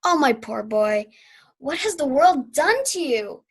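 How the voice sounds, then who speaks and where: A woman's voice: sits high, sing-song, unhurried, full character voice. A young woman playing an eccentric, dramatic old aunt and former vaudeville performer in an amateur radio play, theatrical and grandly reminiscing. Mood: grand, nostalgic.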